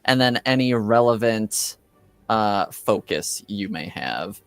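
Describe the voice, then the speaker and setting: A man running a tabletop game as game master, narrating and refereeing in a halting way as he searches for words. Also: fairly low pitch, lively intonation, a bit bright, clean tone, dry recording.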